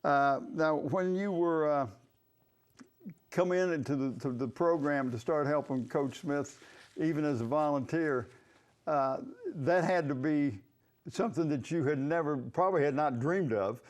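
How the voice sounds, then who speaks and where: Male local sports interviewer, slightly high register, conversational, halting question delivery. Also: darkish tone. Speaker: sports talk show host.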